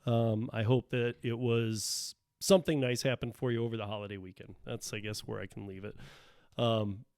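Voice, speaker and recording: A man with a mid-pitched voice, a bit hoarse, hosting a casual solo podcast. He rambles, restarts his sentences and drops plenty of ums. The sound is close and dry, without room echo. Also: fairly even intonation, normal speed, darkish tone. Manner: rambling, many fillers and restarts. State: losing his voice a little. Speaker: podcast host. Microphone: podcast microphone.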